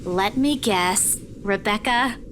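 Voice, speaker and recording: A woman's voice: mid-range voice, slow, noisy background, close to an everyday voice. A woman playing a guarded but considerate young woman in a visual novel, casual and lightly wry.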